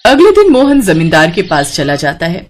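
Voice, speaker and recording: Expressive woman narrating a tale. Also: on the low side, normal speed. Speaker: story narrator. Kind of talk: expressive scripted storybook narration.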